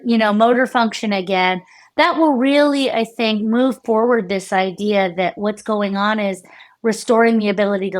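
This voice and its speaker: An American woman neuroscientist explaining her research in a conversational interview, animated and full of fillers and restarts. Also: mid-range voice. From United States. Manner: animated, many fillers and restarts, laughs often. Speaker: neuroscientist guest.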